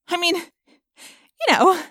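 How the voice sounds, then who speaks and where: A woman narrator plays a fiery teenage girl from an audiobook adventure. The voice is pitched high, loud and emphatic, sarcastic and overwrought, with a very dry sound. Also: extremely slow, no echo, full character voice. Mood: overwhelmed. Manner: loud, emphatic, defiant outbursts.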